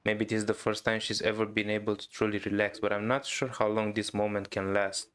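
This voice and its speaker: Smooth, even-voiced man. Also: on the low side, fairly quick. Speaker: gaming YouTuber. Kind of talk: reading game dialogue aloud in a playthrough.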